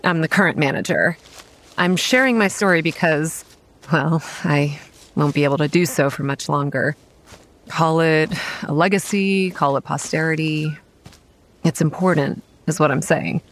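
Low-voiced, weary young campground manager. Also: female voice, normal speed. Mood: grave, confiding. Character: weary, frank young woman managing a haunted family campground. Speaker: narrator and protagonist. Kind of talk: first-person horror audio drama narration.

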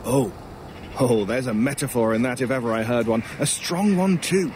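A man's voice: mid-range voice, normal speed, darkish tone, lightly acted. A man playing a wry, teasing talking crow in an audio drama, with an arch, genteel, theatrically polite manner. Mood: wry, musing. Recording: clearly noisy bed of bird sounds. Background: crows cawing, outdoor ambience.

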